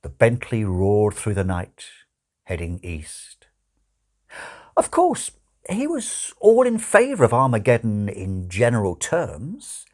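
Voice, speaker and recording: A man narrating a comic novel as an audiobook, with wry, expressive storytelling. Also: on the low side, slow. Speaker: audiobook reader.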